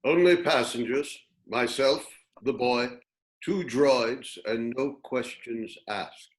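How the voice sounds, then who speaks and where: Guy reading as calm polite old mentor type, medium voice, sci-fi script read. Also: medium pitch, slow, slight background noise, close to an everyday voice, rough recording. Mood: measured negotiating. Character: calm, courteous, wise old male warrior.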